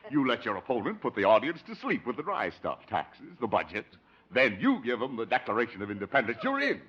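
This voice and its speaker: An actor playing a smooth-talking con-man political adviser in a 1950s radio comedy, husky and grandiloquent, chuckling through his pitch. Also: wildly swooping pitch, fairly quick, a bit bright, heavily acted. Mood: scheming. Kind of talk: 1950s radio sitcom acting. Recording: dull lo-fi 1950 radio recording.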